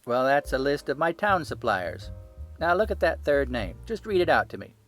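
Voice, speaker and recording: A man's voice: mid-range voice, very animated, normal speed, fairly bright tone, soft background music, voice pushed up. A man voicing a hot-tempered, cocksure poultry salesman in a storybook, blunt and emphatic. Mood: cocky, triumphant.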